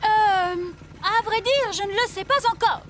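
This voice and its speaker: An actress voicing a busy, cheerful female rabbit who flies a rescue helicopter in a dubbed cartoon, brisk and helpful. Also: very high voice, noisy background. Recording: cartoon soundtrack under loud music. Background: music.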